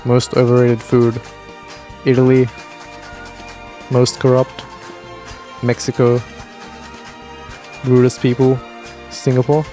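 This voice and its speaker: Young male reaction host, blunt and opinionated, medium pitch, faint music bed. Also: completely flat delivery, takes it slowly, long pauses, dark tone. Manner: blunt, opinionated, swears freely. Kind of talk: casual reaction commentary.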